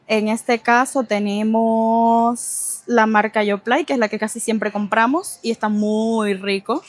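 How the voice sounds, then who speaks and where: A woman's voice: a little high, no room sound. A woman with a Cuban accent hosting a vlog, chatting casually and expressively to the camera. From Cuba. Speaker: vlog host.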